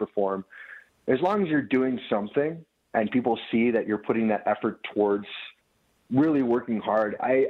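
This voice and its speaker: A man in his thirties from Michigan, a business owner talking earnestly as a radio guest, rambling with many restarts. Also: mid-range voice. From Dearborn, Michigan. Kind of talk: casual radio interview. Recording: tinny phone-line audio.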